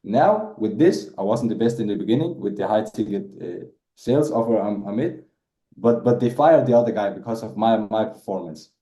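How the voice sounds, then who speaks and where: A man's voice: on the low side, expressive, a little fast, dark tone, echoey room. A man, answering interview questions casually and repeating words as he talks. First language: Danish. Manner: repeats words, says "bro" often. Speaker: guest, sales closer.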